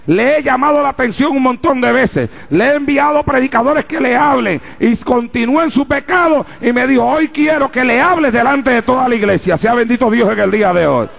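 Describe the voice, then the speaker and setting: Fervent high-voiced gravelly preacher man. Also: fairly quick, few pauses. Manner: fervent, rising to shouts, rhetorical questions to congregation. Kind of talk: sermon. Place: church before a congregation.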